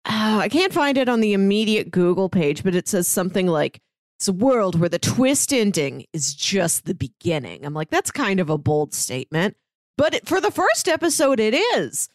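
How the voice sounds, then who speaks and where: A woman's voice: medium pitch, sing-song, moderate pace, no room sound, clean studio sound. A woman co-hosting a podcast, chatting casually through a review in a conversational way, peppered with "like". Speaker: podcast co-host.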